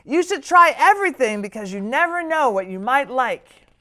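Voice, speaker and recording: A woman's voice: high-pitched, big pitch swings, normal speed, bright, forceful tone, pitched above the performer's own voice, full character voice. A woman voicing a jovial, worldly old grandfather in a children's storybook, hearty and affectionate. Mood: reminiscing.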